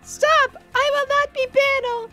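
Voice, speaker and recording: A woman voicing a cutesy, melodramatic anime schoolgirl with drawn-out delivery in a visual novel dub. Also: very high-pitched, fairly even intonation, bright, forceful tone, faint music behind, exaggerated voice. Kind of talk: improvised visual novel dubbing.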